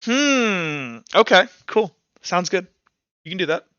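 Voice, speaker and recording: Young male game master, high clear voice, casual, thinking aloud, dry slightly muffled mic. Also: big pitch swings, slow pace, sharp tone, no room sound. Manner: informal, conversational, thinking aloud. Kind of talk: casual narration of a tabletop roleplaying game.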